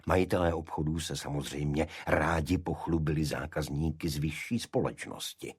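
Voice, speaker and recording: A very low-voiced man narrates a detective story in measured, literary fashion. His voice is rough and husky, recorded dry and close, with no sense of room around it. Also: steady tone, dry recording. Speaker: audiobook narrator.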